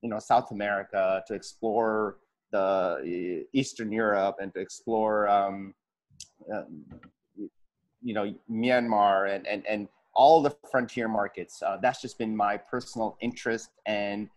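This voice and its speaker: Man, 30s, from california, low voice, candid reflective founder, wired earphones, office video call. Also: steady tone, measured pace. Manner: candid, reflective, many fillers. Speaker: startup founder. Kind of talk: casual panel talk on a video call. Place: office with posters.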